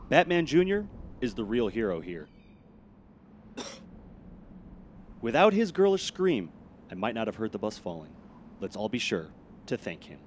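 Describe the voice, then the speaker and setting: A man's voice: medium pitch, a little hiss or hum, lightly acted. A man voicing a smug, overly polite adult superhero who lectures civilians.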